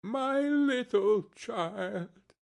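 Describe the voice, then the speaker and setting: A man playing a meek, kindly poor father in a storybook reading, gentle and full of grief. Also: a little high, lively intonation, slow pace, vocal fry, slightly rough, voice pushed up, exaggerated voice. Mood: broken grief. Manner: gentle, pleasant, tender.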